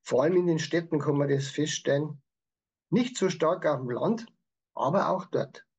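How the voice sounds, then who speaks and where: A man's voice: fairly high pitch, normal speed, darkish tone, slightly rough, lo-fi sound. A man with a Bavarian accent, explaining things in prepared answers as an author being interviewed. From Bavaria, Germany. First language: Bavarian dialect.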